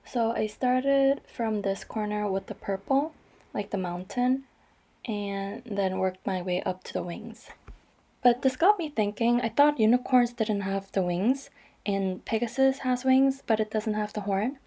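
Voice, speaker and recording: A woman narrating her cross-stitch vlog in a chatty, rambling way, her voice a little raspy and stuffy from allergies. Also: mid-range voice, measured pace, dark tone. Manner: chatty, rambling, frequent ums. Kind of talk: casual voice-over vlog narration.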